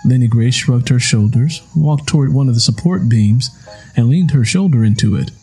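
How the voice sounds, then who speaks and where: A man's voice: medium pitch, normal speed, mellow, breathy edge, soft background music, low-quality recording. A man reading a story aloud as scripted audiobook narration.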